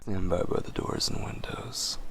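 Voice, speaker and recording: A man's voice: fairly bright tone, whispered, lightly acted. An actor playing a smug, teasing, possessive male vampire in a roleplay audio drama, taunting his prey in murmurs. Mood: smug menace. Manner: slow, taunting, chuckling, often dropping to breathy murmurs.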